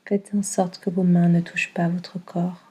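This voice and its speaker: A woman guiding a yoga nidra meditation in a calm, soothing manner, with a soft, breathy delivery. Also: fairly low pitch, moderate pace. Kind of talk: guided meditation.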